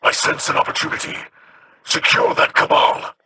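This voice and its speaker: A man voicing an honorable alien captain in a video game, speaking formally and sternly in a rasping growl. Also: normal speed, background noise, natural voice. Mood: commanding. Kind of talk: video game character dialogue.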